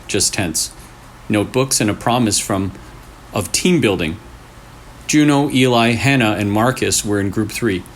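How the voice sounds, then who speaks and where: Man reading scary stories, noisy recording. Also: medium pitch. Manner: deliberate, short phrases with pauses for suspense. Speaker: storyteller, channel host. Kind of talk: scary story read aloud. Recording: clearly noisy room recording.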